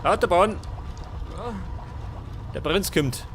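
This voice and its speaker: A man's voice: high voice, takes it slowly, background noise. A man with a Bavarian accent doing casual let's play commentary, muttering to himself in colloquial, sweary bursts. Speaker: let's player.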